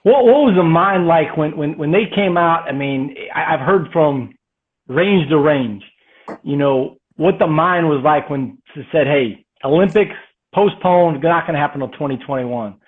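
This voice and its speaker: A man's voice: on the high side, a little slow, slightly rough. A man hosting an interview, a coach who asks easygoing questions and keeps affirming his guest over a video call. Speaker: host and coach. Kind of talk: casual interview chat. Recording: phone-like call audio.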